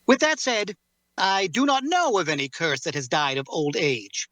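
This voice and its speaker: A man playing a formal, guarded cursed warrior in an audio drama table read, speaking courteously in measured, deliberate phrases. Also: high-pitched, pushed and bright, no room sound. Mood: grave, explanatory.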